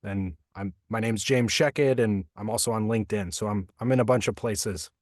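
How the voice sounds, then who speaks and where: A man's voice: on the low side, normal speed, no echo, studio quality. A male voice actor talking casually as an interview guest, friendly and a little hesitant.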